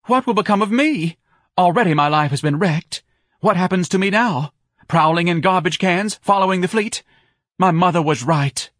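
Audiobook role: man playing overwrought, self-pitying wife, raised high voice, theatrical wail. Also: moderate pace, a bit bright, no echo, studio quality. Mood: hysterical. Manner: shrill, sobbing, melodramatic wailing. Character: hysterical, self-pitying, overdramatic middle-aged wife. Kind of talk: audiobook character voice.

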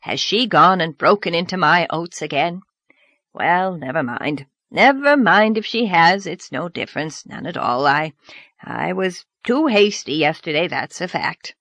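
A sheepish middle-aged farmer speaks nervously, stumbling over his words and backing down fast, as a woman narrator voices him. The sound is dry and close, with no room around it. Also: on the low side, expressive, a bit bright, no echo, heavily acted. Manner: nervous, stammering, slow.

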